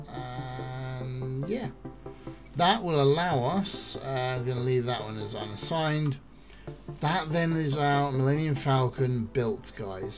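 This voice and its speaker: A man narrating a ship-building game tutorial in a relaxed, enthusiastic way with many fillers. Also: mid-range voice. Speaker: gaming YouTuber.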